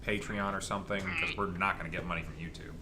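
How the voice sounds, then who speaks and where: A young man chatting casually as co-host of a gaming channel, answering audience questions in a rambling, halting way. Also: low voice, level tone, noisy background.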